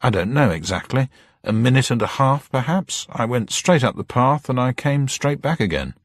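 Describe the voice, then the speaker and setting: A man voicing a gentleman detective in a story, politely bluffing his way through questions in a clipped, guarded manner. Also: mid-range voice, lively intonation, normal speed, dry recording, clean studio sound, voice pushed up, lightly acted.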